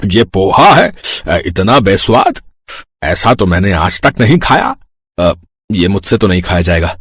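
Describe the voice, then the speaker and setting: A man voicing a grumbling middle-aged father-in-law in a cartoon family story, with a husky voice. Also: low voice, big pitch swings, measured pace, fairly bright tone, dry recording, studio quality, exaggerated voice. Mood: disgusted. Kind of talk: Hindi cartoon story dialogue.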